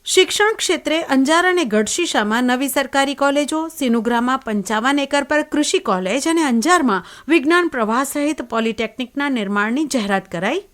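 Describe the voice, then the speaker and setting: A woman newsreader delivers scripted news headlines. Her voice sits clearly high. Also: animated, a little fast, few pauses, fairly bright tone.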